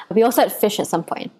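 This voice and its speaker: A woman's voice: mid-range voice, warm tone, husky. A young adult woman from Singapore, a podcast guest telling her story in a chatty way with frequent laughs. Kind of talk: casual storytelling interview.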